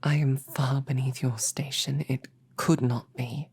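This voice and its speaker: A woman's voice: extremely low pitch, fairly even intonation, measured pace, creaky, breathy edge. A woman playing a stammering, flustered knight. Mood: earnest. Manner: stammering, flustered, shifting to soft whispers. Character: flustered snarky female knight, devoted. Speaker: audio drama performer. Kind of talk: romantic audio roleplay.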